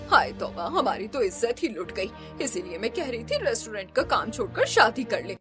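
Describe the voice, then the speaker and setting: A woman's voice: a little high, sing-song, moderate pace, over music. A woman voicing a nagging, fretful middle-aged mother in a cartoon. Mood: distraught.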